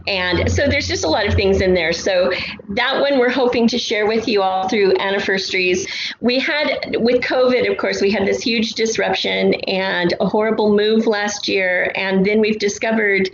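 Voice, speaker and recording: A middle-aged American woman talks casually as an interview guest, explaining at length like a storyteller. Her voice sits at a medium pitch. The recording is roomy and slightly dull, with faint noise behind it. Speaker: guest, audio drama writer. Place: untreated city apartment room.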